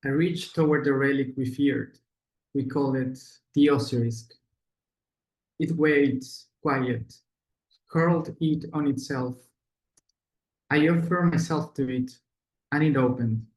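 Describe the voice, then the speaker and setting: A man's voice: mid-range voice, steady tone, slow, long pauses, roomy sound, rough recording. Male presenter, mid-range voice, student project delivery. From Ecuador. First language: Spanish. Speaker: student presenter, product designer. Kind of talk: project presentation, moving into scripted narration.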